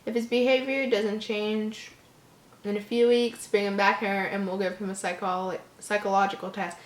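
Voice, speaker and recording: A woman's voice: mid-range voice, flat delivery, moderate pace, close to an everyday voice. A woman voicing a matter-of-fact doctor explaining things in a horror story. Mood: reassuring. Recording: roomy, echoing home recording.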